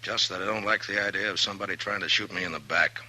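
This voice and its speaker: US marshal in old radio western. American, deep and piercingly forceful, coolly menacing, goads his opponent. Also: fairly even intonation, quick pace, full character voice, low-quality recording. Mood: goading. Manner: blunt, commanding, coolly goading. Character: tough, unhurried frontier marshal, coolly menacing.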